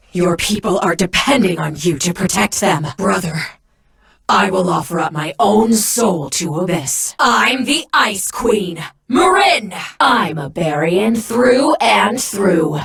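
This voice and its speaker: A woman playing a proud, icy young warrior in an anime game, with theatrical, imperious and deliberate delivery. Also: fairly low pitch, gravelly, exaggerated voice. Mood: solemn, devoted. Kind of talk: English anime game voice-over battle lines.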